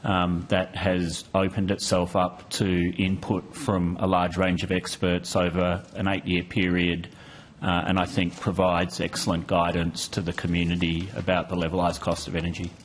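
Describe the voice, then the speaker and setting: A man's voice: deep voice, monotone, normal speed, a little hiss or hum. A man giving formal testimony as an executive at a hearing, objecting politely and carefully. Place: parliamentary committee room.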